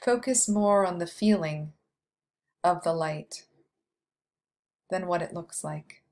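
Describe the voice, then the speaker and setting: A woman leading a guided meditation, speaking slowly and softly with long pauses between phrases. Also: fairly low pitch. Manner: slow, soft, soothing delivery with long pauses.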